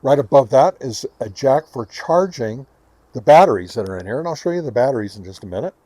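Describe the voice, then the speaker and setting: A man's voice: medium pitch, lively intonation, fairly quick, mellow, no room sound. Man giving a chatty gadget demo, dry sound. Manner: chatty, enthusiastic about gadgets. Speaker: host of a radio gear review channel. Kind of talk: casual hands-on product demo and explanation. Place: home radio shack.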